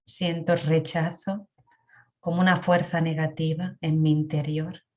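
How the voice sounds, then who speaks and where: A woman leading a guided emotional meditation in a calm, reflective way. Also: low voice. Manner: slow, calm, reflective guidance with long pauses.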